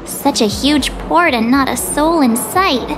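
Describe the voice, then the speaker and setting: An actress playing a perky, outspoken teenage girl adventurer in a video game, informal, brash and expressive. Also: sits high, measured pace, music in the background. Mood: surprised, uneasy. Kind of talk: video game cutscene dialogue.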